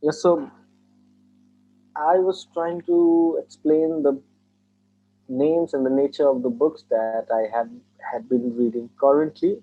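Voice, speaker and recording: A man's voice: a little high, steady tone, slow, long pauses. A young man in his twenties from Nepal, a native Nepali speaker, answering mock speaking-test questions in earnest, formal phrasing with frequent restarts. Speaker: test candidate. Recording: tinny phone-like call audio.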